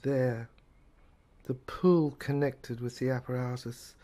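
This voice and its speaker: A woman narrating an audio play in an intimate, hushed way, halting phrase by phrase. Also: a bass voice, fairly even intonation.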